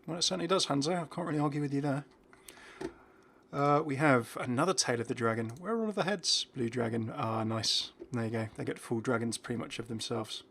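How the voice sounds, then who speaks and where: A man's voice: mid-range voice, brisk, gravelly, a bit bright, slight background noise. Man giving casual, chatty game commentary. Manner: chatty, musing aloud, breaking off mid-thought. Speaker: gaming video host.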